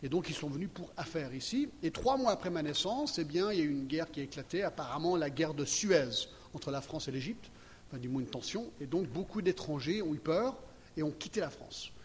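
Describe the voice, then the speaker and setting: Older man preaching, American-born and Geneva-raised, conversational, keeps checking in with listeners, fairly high voice, long hall reverb, faint ambience. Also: expressive, a little fast, slight background noise. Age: 60s. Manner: chatty, checks in with audience often. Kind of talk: sermon with personal testimony. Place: church hall.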